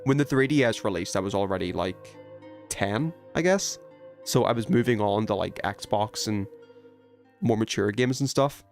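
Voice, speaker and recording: A man's voice: lowish voice, moderate pace, gravelly, soft background music. A man in his twenties chatting casually on a gaming livestream, rambling informally and talking to himself as he plays. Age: 20s. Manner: rambling, informal, self-talking while playing. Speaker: video game streamer.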